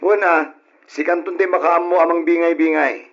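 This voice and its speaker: An actor playing a hardworking, family-minded husband who works abroad, in an old-style radio drama with an Ilocano accent. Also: male voice, a little high, steady tone, moderate pace, fairly bright tone. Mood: businesslike. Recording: dull lo-fi radio sound.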